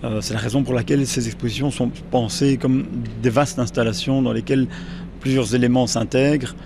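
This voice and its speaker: A man's voice: mid-range voice, steady tone, fairly quick. A man explaining in an interview as an art expert, hesitantly searching for his words. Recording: clear background noise on location.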